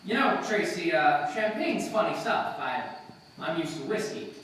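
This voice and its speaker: A tipsy magazine writer, earnest and cynical, in a live radio play. His delivery rambles drunkenly with lively swings in pitch. He is heard from a distance in a very reverberant hall with faint noise. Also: unhurried. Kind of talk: live old-time radio play acting. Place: live stage performance in a hall.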